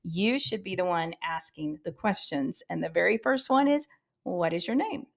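A woman's voice: on the low side, animated, normal speed, creaky. A woman hosting a podcast as a practice coach, speaking straight to her listeners in an emphatic way with rhetorical questions.

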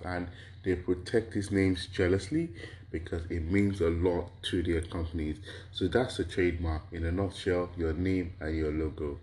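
A man with a very deep voice explains a topic to listeners, posing questions and answering them himself. His voice is slightly hoarse from a cold, and he strings his points into lists punctuated with a questioning "right?". He is from Nigeria. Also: darkish tone, slight background noise. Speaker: lecturer on intellectual property. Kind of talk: explanatory lecture to an audience.

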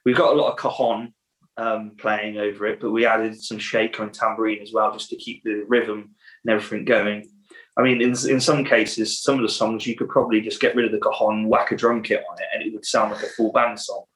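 A man's voice: fairly low pitch, flat delivery, brisk, fairly bright tone, poor audio. A man from a band, chatting in a podcast interview, rambling and correcting himself with many ums. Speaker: band member.

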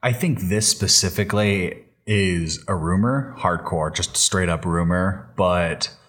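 A man's voice: deep voice, slow pace, fairly bright tone. A man co-hosting a podcast, discussing news casually in an informal, enthusiastic fan manner. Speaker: podcast co-host.

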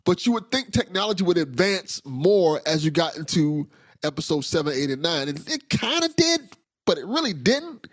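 Lively man hosting a casual podcast. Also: fairly high pitch, normal speed, dry recording. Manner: enthusiastic, repeats words as he starts sentences. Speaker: podcast host. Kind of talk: casual interview chat.

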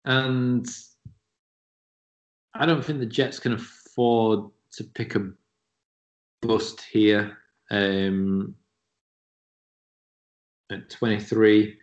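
A man's voice: on the low side, fairly even intonation, drawn-out words, long pauses. A man with a Yorkshire accent co-hosting a casual podcast, bantering and joking. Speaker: podcast co-host. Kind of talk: casual chat. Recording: dull lo-fi sound.

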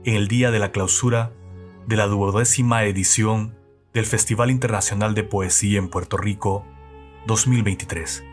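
A man reading a scripted introduction in a slow, measured, solemn way. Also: lowish voice, fairly even intonation. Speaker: narrator introducing the episode. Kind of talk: scripted narration over music. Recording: narration over loud music bed.

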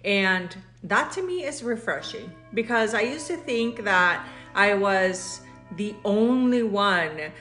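A woman's voice: mid-range voice, lively intonation, takes it slowly, few pauses, sharp tone, faint music behind. A woman from Mexico co-hosting a podcast, chatting casually and animatedly, laughing often and switching between English and Spanish. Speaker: podcast co-host. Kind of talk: casual bilingual chat.

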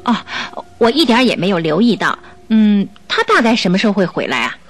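Radio drama landlady: mild housekeeper, expressive rise and fall, light music under the voice. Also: medium pitch, moderate pace. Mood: puzzled. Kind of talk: Mandarin radio drama acting.

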